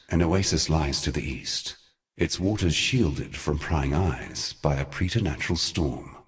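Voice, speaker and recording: Very deep, solemn ancient god. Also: male voice. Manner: solemn, formal, measured theatrical delivery. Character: ancient weary male god, grave and scornful storyteller. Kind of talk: scripted dramatic video game narration.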